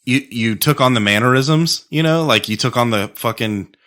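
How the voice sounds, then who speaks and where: Bright, forceful American man bantering. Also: medium pitch, normal speed. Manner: profane, riffing, jokey slang. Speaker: podcast co-host. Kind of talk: casual comedic banter.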